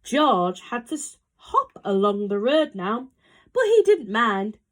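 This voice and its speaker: Slow, animated woman reading to children. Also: on the high side. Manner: animated, asks listeners questions. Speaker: storybook reader. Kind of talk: expressive read-aloud for young children.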